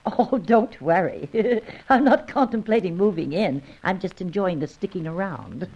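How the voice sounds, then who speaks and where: A brash, coquettish woman of an old radio serial, teasing and wisecracking in slangy talk. Her pitch swings in a lively, animated way. The recording is dull and band-limited. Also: moderate pace, slightly rough. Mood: wry. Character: flirtatious, brash, wisecracking woman pursuing a secret agent.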